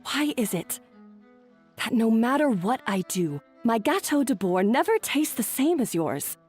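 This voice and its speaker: A self-doubting young female chef talks slowly and hesitantly in a game cutscene. Her voice is soft and breathy, with faint music underneath. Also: a little high, very animated, exaggerated voice. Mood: doubtful.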